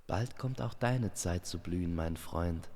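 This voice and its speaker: A gentle, nature-loving man in a fantasy audio tale, voiced by a male narrator, musing softly to himself. His voice is low and creaky, with faint ambience behind it. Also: unhurried, long reverb tail. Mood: tender.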